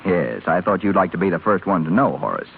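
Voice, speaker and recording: Cocky restless young rich fella, old tinny radio drama, bouncy intonation. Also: male voice, low voice, big pitch swings, quick pace, gravelly, heavily acted. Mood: impatient, bossy. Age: young adult. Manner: wisecracking, sardonic. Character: brash, flippant, restless young rich male hospital patient. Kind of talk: 1930s radio drama acting. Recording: scratchy, tinny 1930s radio broadcast recording.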